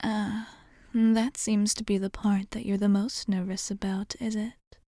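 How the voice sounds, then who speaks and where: A woman playing a courteous, seductive vampire bartender in an ASMR roleplay, softly and breathily reassuring the listener in polite, formal phrasing, close to the mic. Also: mid-range voice, level tone, unhurried, dry recording. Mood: soothing. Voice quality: soft, breathy, often near whisper.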